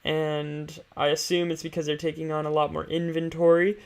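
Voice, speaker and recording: A man's voice: a little high, monotone, moderate pace, darkish tone, clear, resonant tone. A man hosting an investing stream, explaining finances casually and without a script, in an informal, hesitant, think-aloud way.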